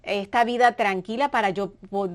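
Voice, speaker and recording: A woman's voice: medium pitch, steady tone, normal speed. A woman hosting an interview, who encourages her guest and sums up what she has said. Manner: encouraging, recaps the guest's words. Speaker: podcast host.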